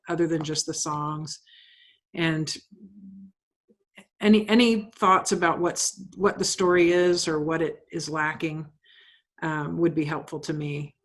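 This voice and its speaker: A woman playwright explaining her project informally, often rephrasing as she asks for feedback. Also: on the low side.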